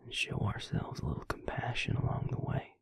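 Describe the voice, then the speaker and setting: A man playing a brooding, protective vampire lover in an intimate audio roleplay, whispering slowly and haltingly with a breathy, gravelly voice. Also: no echo, studio quality, natural voice. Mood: rueful, encouraging. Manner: slow, halting delivery with pauses.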